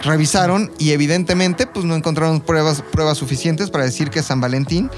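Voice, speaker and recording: Mexican man, higher-pitched, telling history casually. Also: fairly quick. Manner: informal, explanatory, role-plays imagined quotes. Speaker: podcast co-host telling history. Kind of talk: casual gossipy history storytelling with slang. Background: background music.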